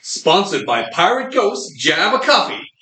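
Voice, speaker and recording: A man acts out a chummy, theatrical narrator for an old-time radio serial. His bright, slightly high voice swings widely in pitch. The room echoes audibly. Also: very animated, a little slow, slight background noise, exaggerated voice. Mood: announcing.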